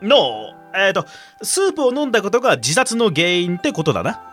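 A middle-aged man played by an actor poses riddles in a radio drama. His speech is rough and teasing, with a playful yet menacing temper. The pitch sits slightly high and moves a lot, with music faintly behind. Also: wildly swooping pitch, brisk, fairly bright tone, exaggerated voice. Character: gruff, playful older stranger posing riddles, quietly menacing. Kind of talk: radio drama dialogue and storytelling.

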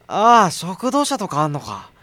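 A man's voice: high-pitched, wildly swooping pitch, normal speed, a bit bright, no echo, heavily acted. A man playing a harried young courier in a radio drama, mixing casual first-person narration with exasperated asides. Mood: wry, pleased. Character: harried young male courier, wry and irritable.

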